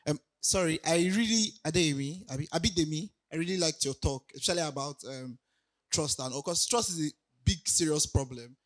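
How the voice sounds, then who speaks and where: A young man with a raspy voice from recent illness, giving a casual conference talk full of hesitations. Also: fairly high pitch, fairly quick. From Nigeria. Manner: hesitant, many ums and restarts, laughs lightly. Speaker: conference speaker. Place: conference hall.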